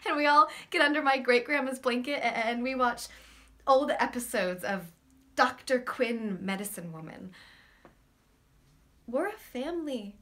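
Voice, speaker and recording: An actress plays a lovesick woman torn by fear, confessing in a high, slow voice. She is earnest and vulnerable, with hesitant phrasing. The delivery is that of an intimate theatrical monologue. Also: fairly bright tone. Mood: tender.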